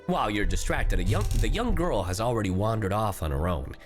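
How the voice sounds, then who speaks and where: A man's voice: on the low side, fairly quick. A male streamer giving playful, casual commentary on a game and reading its story text aloud. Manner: animated, playful. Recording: music behind the voice.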